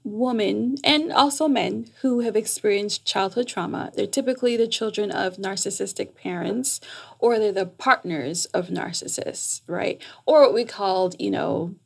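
Female podcast guest, middle-aged, gravelly fry and husky edge, explanatory and animated, close studio mic. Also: mid-range voice, normal speed. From Jamaica, raised in New York. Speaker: guest, psychotherapist. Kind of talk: conversational podcast interview. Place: small foam-treated podcast studio. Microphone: close boom-arm studio microphone.

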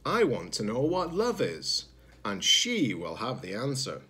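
A man's voice: mid-range voice, wildly swooping pitch, a little slow, pushed and bright, breathy edge, heavily acted. A man voicing an innocent, curious young robot child in a storybook, earnest and questioning. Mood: earnest, hopeful.

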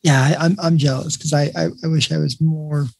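A man hosts a podcast with a gravelly, husky voice that is dark in tone. His questions are easygoing, and he drops short affirmations as the guest talks. The sound is dry and close. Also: a little high, steady tone, brisk, no echo. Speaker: podcast host. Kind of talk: casual interview chat.

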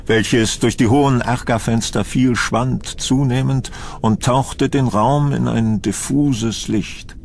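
A man's voice: medium pitch, creaky, slight background noise. A man narrating a horror audio drama from a script.

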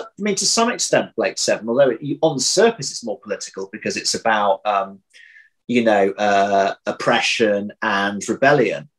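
A man's voice: medium pitch, rough recording. Man thinking aloud, hesitant and searching. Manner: hesitant, thinking aloud with many false starts and repeated words. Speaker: interview guest, audio drama writer. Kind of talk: casual interview chat. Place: home room, indoors. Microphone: computer video call.

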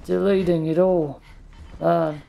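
A man's voice: on the high side, little pitch movement, slow pace, gravelly, warm tone, soft background music. A man giving casual gameplay commentary, muttering to himself and given to swearing. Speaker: let's play streamer.

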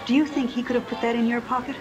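An actress playing an anxious, fretting wife in a 1930s film. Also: female voice, fairly high pitch, level tone, racing, lo-fi sound, over music, lightly acted. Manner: anxious, pleading.

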